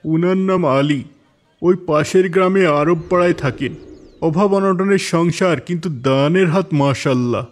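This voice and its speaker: A man's voice: a little high, moderate pace, lightly acted. Man playing a helpful cartoon market worker, lightish voice. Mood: matter-of-fact, sympathetic. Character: helpful, sympathetic male market warehouse worker. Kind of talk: dubbed moral-tale cartoon acting.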